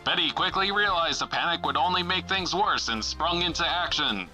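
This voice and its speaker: Male serial narrator, theatrical announcer style, very bright and forceful, fairly high for a man, over music. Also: lively intonation, normal speed, low-quality recording. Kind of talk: dramatic scripted narration in old-time adventure serial manner.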